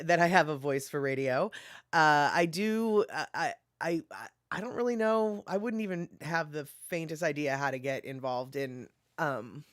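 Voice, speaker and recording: Casual streamer, mid pitch, dry close sound, chatty, laughs a lot, "let's see here" fillers. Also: a bit bright, no echo. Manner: chatty, laughing, muses aloud while reading comments. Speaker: livestream host reading chat. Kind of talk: casual livestream chat.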